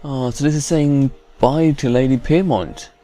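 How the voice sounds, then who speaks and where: Male gamer, casual commentary, chatty, mid pitch, faint game music. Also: a little slow, dark tone. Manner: chatty, hums to self. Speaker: gamer, let's play host.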